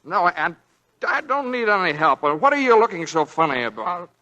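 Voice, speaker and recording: An American actor playing a meek, flustered young lawyer and husband who stammers hesitantly in a 1940s radio drama. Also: male voice, high voice, big pitch swings, normal speed, sharp tone, heavily acted. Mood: defensive, irritable. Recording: vintage 1940s radio broadcast.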